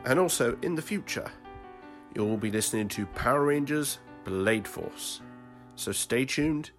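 A man's voice: medium pitch, lively intonation, a little slow. A man delivering a scripted announcement for a podcast segment. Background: loud music.

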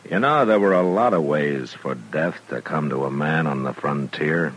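An American actor playing a gruff, weary frontier marshal in a 1950s radio western, terse, grave and plainspoken. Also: male voice, rumbling low, expressive, moderate pace, exaggerated voice. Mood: somber. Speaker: radio drama lead actor.

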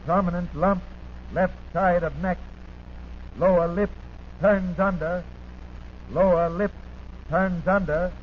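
An American man reading a police bulletin as a 1940s radio announcer, in deliberate staccato phrases with details repeated, on an old broadcast recording. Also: sits high, steady tone, lo-fi sound, slight background noise.

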